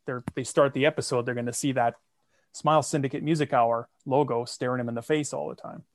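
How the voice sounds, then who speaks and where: A man's voice: medium pitch, fairly even intonation, a little fast. A man guest on a podcast, talking about his show in a wry, self-deprecating way. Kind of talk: casual interview.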